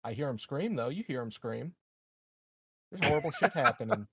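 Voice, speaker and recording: A man's voice: mid-range voice, lively intonation, moderate pace, pushed and bright, husky, dry recording, clean studio sound. A man playing a tabletop roleplaying game, improvising in character in a casual, profane way.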